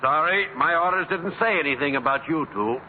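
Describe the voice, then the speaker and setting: Curt jail guard, voiced by a man, mid pitch, hissy tinny radio. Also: lively intonation, a little fast, lightly acted. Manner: curt, officious. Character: brusque male jail guard.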